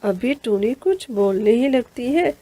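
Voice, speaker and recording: ऊँची, मुलायम आवाज़ में कहानी सुनाती महिला. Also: female voice, a little high, lively intonation, a little slow, warm tone. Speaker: narrator. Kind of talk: scripted cartoon story narration.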